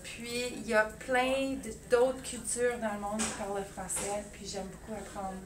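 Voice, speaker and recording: A woman's voice: medium pitch, flat delivery, moderate pace, noisy background. A woman giving a casual, thoughtful interview answer. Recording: echoey, distant mic, crowd chatter.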